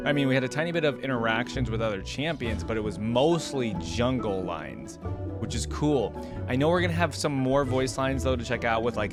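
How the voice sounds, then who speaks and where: A man hosts a casual reaction video in a mid-pitched voice. He muses aloud conversationally, with music clearly playing behind him. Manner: musing, thinking aloud.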